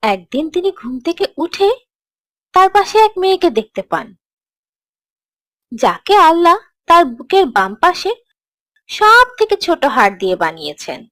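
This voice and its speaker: A woman playing a gentle, encouraging teacher who tells scripted religious stories to children in a cartoon. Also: very high-pitched, big pitch swings, unhurried, long pauses, no echo, heavily acted. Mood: narrating, instructive. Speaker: storyteller, teacher.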